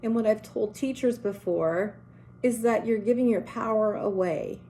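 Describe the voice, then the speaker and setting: A woman's voice: fairly high pitch, normal speed, warm tone, a little hiss or hum, rough recording. A middle-aged woman who runs a school, with a strong, carrying voice, giving earnest, direct advice to parents and teachers. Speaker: school principal.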